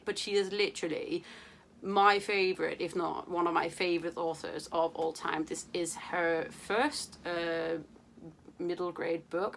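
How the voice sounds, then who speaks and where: A young woman talks about books in a loose, playful way, with plenty of fillers and the odd swear word. Her voice sits a little low. A faint hiss runs under the recording. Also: a bit bright. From Denmark. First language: Danish. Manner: chatty, playful, swears casually, many fillers. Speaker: book vlogger. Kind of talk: casual chat to camera.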